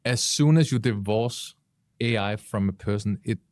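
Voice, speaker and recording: A man's voice: lowish voice, normal speed, darkish tone, no room sound. A man on a podcast panel, reasoning aloud and giving his opinions conversationally.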